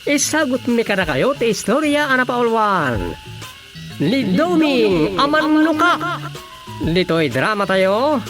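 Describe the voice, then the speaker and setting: An announcer introduces a radio drama from a script. The delivery is slow and dramatic, rising and falling widely over clear background music. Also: mid-range voice, sing-song.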